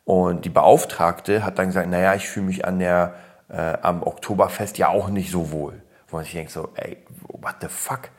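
Man, very deep voice, from Berlin, casual podcast host, blunt, full of fillers and restarts. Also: fairly even intonation, normal speed. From Berlin, born in Poland. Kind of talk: casual opinion chat.

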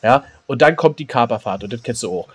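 A man's voice: medium pitch, lively intonation, brisk. A man co-hosting a casual podcast, joking around and breaking into sung snippets. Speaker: podcast co-host. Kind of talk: casual chat.

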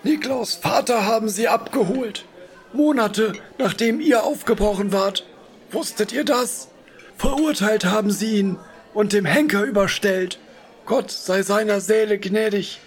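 A high-pitched haughty medieval lord. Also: male voice, unhurried. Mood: skeptical. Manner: doubting, lordly, then generous.

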